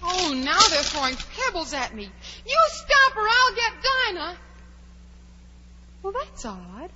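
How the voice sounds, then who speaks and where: A woman's voice: very high-pitched, huge pitch swings, takes it slowly, exaggerated voice. A woman playing a curious, chatty, plucky young girl who wonders aloud in a 1940s radio drama. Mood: plucky, indignant. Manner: wondering aloud, exclaiming, polite. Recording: dull, hissy 1940s radio recording.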